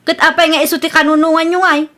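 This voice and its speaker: A very high-voiced young Filipino woman acted by a woman in an Ilocano comedy radio drama. She is stubborn and argues back defensively, putting the blame on others. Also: little pitch movement, fast, sharp tone. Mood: argumentative. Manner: argumentative, defensive.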